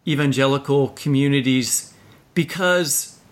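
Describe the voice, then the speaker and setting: A man's voice: medium pitch, measured pace. A man hosting a conversational literary interview, asking long, emphatic questions. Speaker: podcast interviewer, host.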